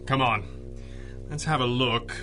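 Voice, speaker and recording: A confident masked boss hero in an old-style radio adventure. He sounds dry and composed, with a crisp, forceful tone over music. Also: mid-range voice, fairly even intonation, a little slow. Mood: assured. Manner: dry, articulate, unruffled. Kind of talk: 1930s-style radio drama acting.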